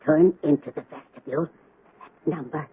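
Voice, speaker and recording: An actor playing an extortionist who gives slow, hushed, commanding orders in a radio drama. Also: male voice, on the high side, breathy edge. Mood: menacing. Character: menacing extortionist giving cold orders. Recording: tinny old radio broadcast recording.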